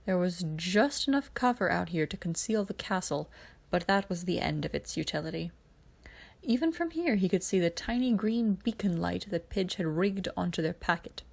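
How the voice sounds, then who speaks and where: Female audiobook-style narrator, lowish pitch, heavy vocal fry, scripted fiction reading. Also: expressive, moderate pace.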